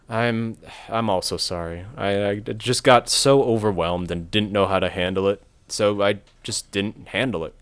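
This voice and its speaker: A young actor playing a dorky, soft-hearted, melodramatic guy in a romantic comedy audio drama. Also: fairly low pitch, fairly even intonation, a little slow, no echo. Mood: apologetic. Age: young adult. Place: bedroom. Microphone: close desk mic with pop filter.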